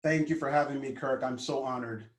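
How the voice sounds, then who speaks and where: A man's voice: mid-range voice, a little fast. Earnest Honduran-born man, echoey room. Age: 50s. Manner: earnest, gentle storytelling with frequent fillers. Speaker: guest, life coach. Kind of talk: conversational storytelling.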